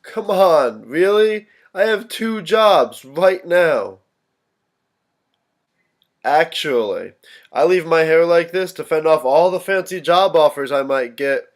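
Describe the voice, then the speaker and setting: A man acts out a dutiful young adult son in a staged family drama. His voice sits a little high for a man, and he talks in a casual, easygoing way with a wry edge. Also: animated, takes it slowly. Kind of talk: staged play reading.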